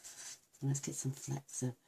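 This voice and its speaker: Lady doing a crafting demo, croaky and gravelly, bit of hiss in the background. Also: a bass voice, monotone, measured pace, dark tone. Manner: gushing, talks to viewers while working. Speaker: card-making demonstrator. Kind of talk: chatty crafting demonstration.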